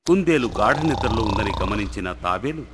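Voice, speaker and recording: A man's voice: fairly low pitch, animated, normal speed, background noise. A man narrating a scripted moral story for children as the storyteller. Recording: long hall reverb, faint music.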